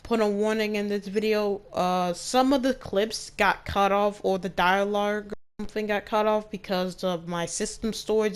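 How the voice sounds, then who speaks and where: A woman's voice: medium pitch, clear, resonant tone. A woman hosting a gaming channel, giving a casual spoken introduction. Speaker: gaming video host.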